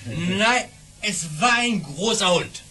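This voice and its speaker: An astonished listener in a radio play. He speaks slowly in a high, lively voice, reacting with incredulous, gasping interjections. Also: exaggerated voice. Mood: puzzled. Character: astonished, doubting listener at the baron's table.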